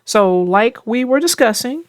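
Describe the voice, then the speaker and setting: A woman hosting a children's read-aloud show, chatting about a book with young readers in deliberate, emphatic phrases. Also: on the high side, animated, no echo, clean studio sound.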